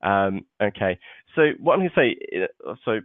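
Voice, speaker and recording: A man's voice: on the low side, big pitch swings, moderate pace, no echo. A man hosting an online seminar, chatty and casual, often saying "okay" between points. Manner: chatty, peppers talk with "okay" and "uh". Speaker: webinar host. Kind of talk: live online seminar walkthrough. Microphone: web conference microphone. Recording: tinny phone-like web call audio.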